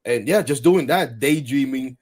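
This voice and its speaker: A man in his forties hosting a casual sports talk show, animated and rambling, repeating words and laughing often. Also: medium pitch, moderate pace, slightly rough. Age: 40s. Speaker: podcast host.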